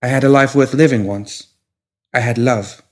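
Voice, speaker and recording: A man's voice: medium pitch, normal speed, pitched above the performer's own voice, lightly acted. A man voicing a guarded young woman, bitter and grieving, in a storybook reading.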